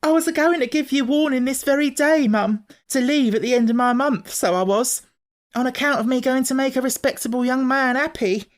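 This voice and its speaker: A man's voice: very high-pitched, brisk, voice pushed up. A man voicing a flustered, tearful young working-class housemaid who rambles in ungrammatical servant speech for an audiobook. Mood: tearful, protesting. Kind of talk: audiobook character acting.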